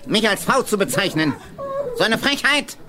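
An actor playing a strict, pompous senior schoolmaster with a nasal, rasping voice. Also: male voice, high voice, big pitch swings, moderate pace, background noise. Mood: indignant. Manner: indignant, pompous scolding. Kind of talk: children's radio play acting.

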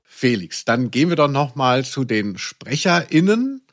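A man's voice: mid-range voice, sing-song, a little slow, faint music behind. A man co-hosting a podcast in casual conversation, with a playful, ironic, teasing manner. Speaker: podcast co-host. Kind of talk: casual chat about audio dramas.

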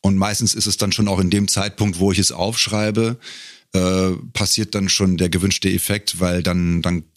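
A man speaking casually as an interview guest, a professional voice artist, who talks thoughtfully and haltingly as he searches for words. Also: low-pitched, little pitch movement, no room sound, studio quality.

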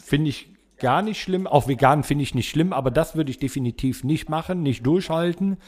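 A man's voice: fairly high pitch, moderate pace, a little mellow. A man hosting a podcast, talking in a casual, conversational style. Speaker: podcast host. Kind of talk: casual chat.

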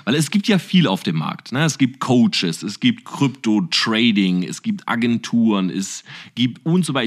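A man with a somewhat low voice asks long, searching questions. He talks freely and in a casual way while pressing for concrete answers. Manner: probing, talkative questioner. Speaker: guest interviewer, entrepreneur. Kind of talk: casual podcast interview.

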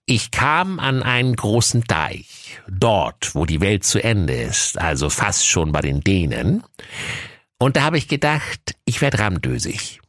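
A performer playing a gruff, dim retired male police inspector, ponderous and deadpan, in a comic fairy tale audio play. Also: deep voice, slow pace, no echo, studio quality, exaggerated voice. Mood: ponderous, mysterious.

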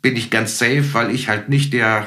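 A man's voice: mid-range voice, steady tone, moderate pace, bright, forceful tone. A middle-aged man telling anecdotes casually as a guest in a podcast interview. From Germany. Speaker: guest, professional percussionist.

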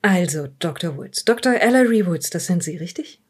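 A woman playing a calm police psychologist who questions a suspect politely and tentatively in an improvised audio drama. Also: fairly low pitch, moderate pace, slightly rough.

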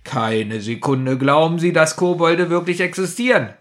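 A man's voice: fairly high pitch, normal speed, a bit bright. A man with a slight cold, co-hosting a casual podcast about audio dramas in a self-deprecating way. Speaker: podcast co-host.

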